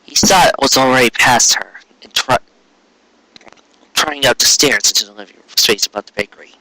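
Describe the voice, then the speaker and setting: A man narrating scripted fiction as a storyteller, with an expressive, dramatic and florid delivery. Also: mid-range voice, normal speed, poor audio.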